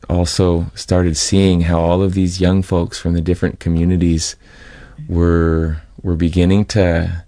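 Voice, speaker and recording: A middle-aged American man from California giving a reflective, halting personal account as an interview guest. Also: low voice, dark tone, no room sound, studio quality. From California, United States.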